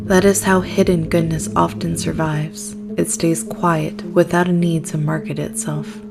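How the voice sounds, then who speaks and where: A woman playing a calm, sorrowful survivor of spiritual warfare, speaking in a quiet, measured way in a gothic audio drama. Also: low voice, creaky, music in the background. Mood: solemn.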